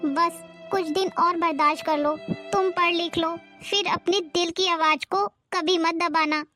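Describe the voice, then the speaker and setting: A woman voicing a gentle, widowed mother who soothes and encourages her daughter in an animated drama. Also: extremely high pitch, exaggerated voice. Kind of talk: animated family drama dubbing.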